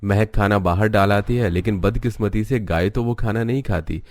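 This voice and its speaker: A man's voice: lowish voice, moderate pace, dry recording, studio quality. A man narrating a scripted cartoon story as its storyteller.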